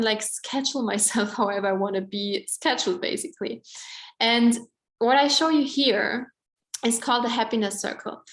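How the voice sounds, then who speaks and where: A woman's voice: on the high side, echoey room. A woman in her twenties with an Austrian German accent, presenting at an online event in a chatty way, full of "like" and "um". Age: 20s. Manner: chatty, many "like" and "um" fillers.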